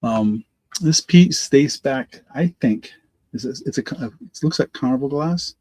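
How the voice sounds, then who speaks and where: A man hosting a live online auction in a rambling, halting way, full of restarts and fillers, hoarse from allergies. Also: medium pitch, lively intonation, darkish tone, dry recording. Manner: halting, lots of ums and restarts.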